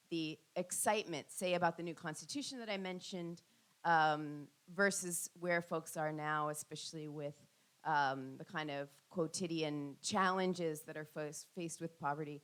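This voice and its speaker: A woman's voice: sits low, fairly bright tone. A middle-aged woman academic answering audience questions on a panel, thoughtful and full of ums and restarts.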